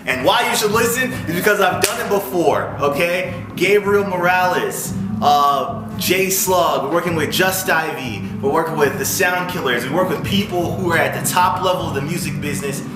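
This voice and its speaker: A man's voice: a little high, normal speed, few pauses. A man giving an informal, instructive talk to viewers as a music business owner, often checking in with short questions. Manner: instructive, punctuated with check-in questions like "okay?" and "all right?". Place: music studio. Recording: roomy echo, music in background.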